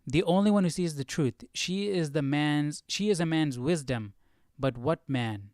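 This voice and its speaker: A man's voice: a little high, a little slow, a little mellow, dry recording. Casual male livestreamer, clean dry sound. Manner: informal, musing aloud, repeats phrases while thinking. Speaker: host of a live Bible study stream.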